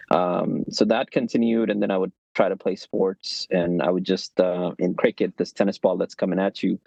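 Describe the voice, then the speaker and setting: Low gravelly man, dull dry recording. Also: dry recording. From Pakistan. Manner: relaxed, explanatory, self-joking. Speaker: guest, tech founder. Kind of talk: casual interview storytelling. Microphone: phone.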